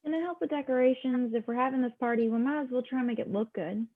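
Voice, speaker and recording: A woman's voice: high-pitched, fast. A woman reading the part of an exasperated, easily embarrassed teenage daughter with a dry streak, in an amateur script read. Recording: muffled, lo-fi home microphone.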